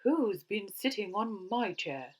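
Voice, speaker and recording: A woman's voice: mid-range voice, very animated, slow. A woman voicing a cross, scolding Mother Bear in a children's storybook, with emphatic indignant questioning.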